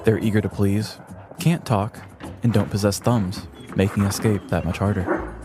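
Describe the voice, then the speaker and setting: A deep-voiced man narrates a scripted true-crime story for a podcast. He delivers crude, wry descriptions as host, with prominent music playing behind him. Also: steady tone, moderate pace. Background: background music.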